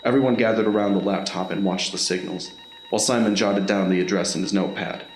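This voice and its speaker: A man's voice: fairly low pitch, fairly even intonation, quick pace, a little hiss or hum. A man reading scripted narration for an audio drama. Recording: roomy, echoing voice recording.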